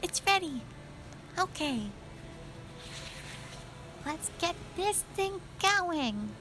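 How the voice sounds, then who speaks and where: A woman voices a young witch in a fantasy audio drama. The voice is pitched high, excitable and full of urgent defiance. Music runs clearly underneath. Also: very slow, full character voice. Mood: defiant, urgent.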